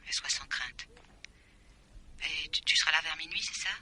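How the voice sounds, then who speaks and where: A woman's voice: low voice, normal speed, noisy background, close to an everyday voice. An actress in a French radio mystery drama playing an insistent, commanding woman who teases and keeps secrets. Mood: insistent, secretive.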